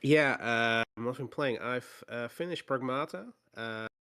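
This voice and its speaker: A man co-hosting a gaming podcast, hoarse from a cold, talking casually with many fillers and restarts. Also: medium pitch, unhurried, bright, forceful tone. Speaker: podcast co-host. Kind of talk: casual chat.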